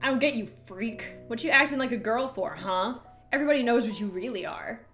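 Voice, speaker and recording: A sneering village bully, a boy who taunts his victim and then shrieks when hurt, as a woman puts him on. The voice sits higher and louder than the reader's own, over soft background music. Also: a little fast, close to an everyday voice. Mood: taunting. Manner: taunting, loud and shrill.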